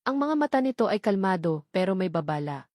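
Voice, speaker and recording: A Filipino woman narrates a romantic drama in the manner of an audiobook, reading scripted prose. Her pitch sits in the middle for a woman, and the recording is dry and close, with very little room sound. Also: sing-song, fairly quick, a little mellow, no echo.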